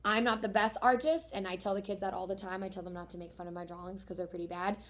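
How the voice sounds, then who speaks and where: A young woman, a third-grade teacher, whose voice is going hoarse, explaining homework strategies step by step in a friendly, encouraging way. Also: medium pitch, steady tone, quick pace, a bit bright. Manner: friendly, encouraging, talks through each step. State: losing her voice, hoarse. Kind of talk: homework explanation video for students.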